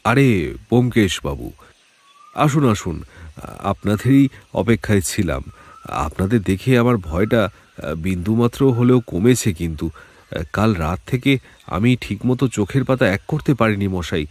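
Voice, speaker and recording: A man playing a frightened, courteous householder in an audio detective drama, speaking with hesitant, sighing pauses. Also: on the low side, lively intonation, darkish tone, slightly rough. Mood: anxious, relieved. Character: frightened, courteous householder, sleepless from a death threat.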